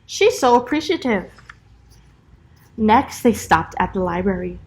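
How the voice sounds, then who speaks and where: A woman's voice: medium pitch, animated, unhurried, slightly rough. A woman teacher reading a children's storybook aloud expressively, with encouraging little asides to young listeners.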